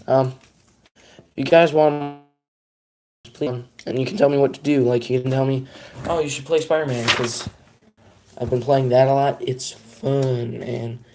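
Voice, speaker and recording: A man's voice: medium pitch, mellow, slight background noise. A young man chatting casually on a live stream, rambling and self-deprecating as he talks to his viewers.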